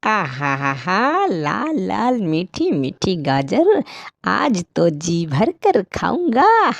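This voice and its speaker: A sly cartoon crow acted by a woman, greedy and resentful. He has a gravelly, creaky voice that swings wildly and lingers on his theatrical exclamations. The pace is slow and the sound dry. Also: medium pitch, wildly swooping pitch, dry recording, exaggerated voice. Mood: greedy delight. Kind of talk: Hindi cartoon moral-story acting.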